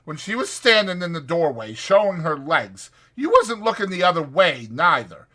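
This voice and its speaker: A man's voice: on the high side, big pitch swings, moderate pace, sharp tone, voice pushed up, exaggerated voice. A man voicing an irritable, protective ranch hand who scolds brusquely in rural American dialect for a dramatized audiobook. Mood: fierce scolding.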